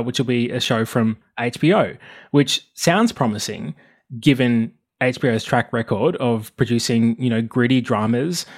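A man hosting an interview podcast, moving between scripted narration and conversational questions. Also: mid-range voice. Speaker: host interviewer.

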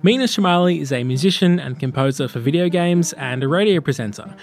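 A man's voice: a little high, expressive, brisk. Male feature host over background music. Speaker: host, interviewer. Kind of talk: scripted narration and interview questions.

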